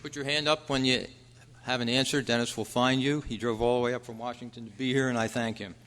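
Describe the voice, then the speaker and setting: A man's voice: mid-range voice, steady tone, quick pace, a bit bright. A man hosting a trivia quiz at a club meeting, reading questions aloud to the room. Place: indoor meeting room at a convention. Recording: echoey hall, long reverb.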